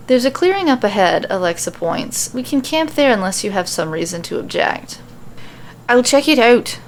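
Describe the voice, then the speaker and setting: A woman's voice: medium pitch, gravelly, a little hiss or hum. A woman hosting a podcast, informal and self-deprecating, who talks casually and reads out fan fiction. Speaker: podcast host and story reader.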